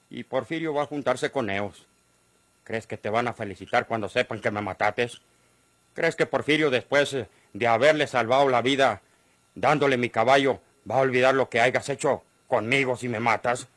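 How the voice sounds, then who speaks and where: A middle-aged Mexican countryman played by an actor in an old-style radionovela. He has a medium-pitched, dryly recorded voice and argues earnestly, shrewd and defiant, in rustic speech. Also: normal speed, a bit bright, dry recording, natural voice. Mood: defiant persuading. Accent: rural Mexican. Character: cornered ranch man, shrewd, pleading yet defiant.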